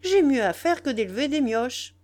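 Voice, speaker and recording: A woman's voice: high voice, sing-song, normal speed, a little mellow, voice pushed up. A woman voicing a brash, impatient young kept woman in audiobook dialogue, scolding in an exclamatory, slangy way. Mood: angry, impatient.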